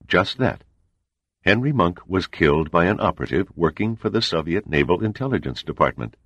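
Guy putting on an impassive spy official voice, higher up, measured, gravel underneath. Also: lively intonation, fairly bright tone, no echo, exaggerated voice. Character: calm, impassive male intelligence official.

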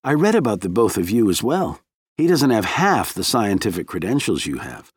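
A man's voice: fairly low pitch, sing-song, moderate pace, husky, no echo, studio quality. A man voicing a capable, polite woman researcher in an audiobook, with a plain, self-assured manner. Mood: complimentary.

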